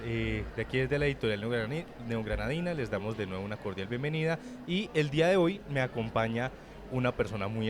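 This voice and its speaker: A man speaking politely and with measured phrasing as he hosts a semi-formal live interview. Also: medium pitch, noisy background. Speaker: publishing house director hosting a book launch interview. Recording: busy book fair crowd behind. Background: crowd chatter at a book fair.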